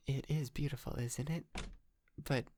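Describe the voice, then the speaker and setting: A man playing a shy, polite, lovestruck yandere kidnapper in an intimate ASMR roleplay, stammering, hesitant and softly doting. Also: mid-range voice, fairly even intonation, slow pace, noisy background, close to an everyday voice. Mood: giddy, fond.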